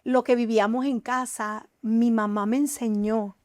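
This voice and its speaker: Earnest woman sharing her testimony, somewhat high. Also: fairly even intonation, unhurried, a little mellow, no room sound, studio quality. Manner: emotional, earnest, hesitant with many fillers. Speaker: guest. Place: TV studio.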